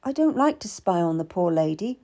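A woman narrator plays a Tudor servant woman in a historical audiobook. Her voice sits high and moves freely in pitch. She is earnest and urgent, beseeching her betters. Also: big pitch swings, moderate pace, warm tone, slightly rough, full character voice. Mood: wary, reluctant. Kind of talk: dramatised audiobook character.

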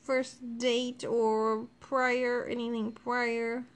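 A woman's voice: a little high, flat delivery, drawn-out words. A woman from Ohio co-hosting a casual podcast about her relationship, chatty and a bit defensive. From Ohio, USA. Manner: chatty, defensive, laughs often. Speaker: podcast co-host. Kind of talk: casual couple chat.

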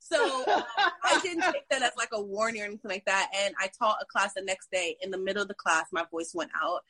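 A woman fitness instructor telling a story in casual chat, animated and laughing often. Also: mid-range voice, quick pace, no echo.

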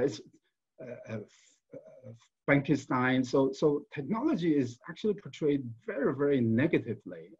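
A man from China with a slightly husky voice and a mid-range pitch gives a panel talk as a professor. He speaks haltingly, restarting his phrases and repeating small words. The recording is a little dull and lo-fi. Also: darkish tone.

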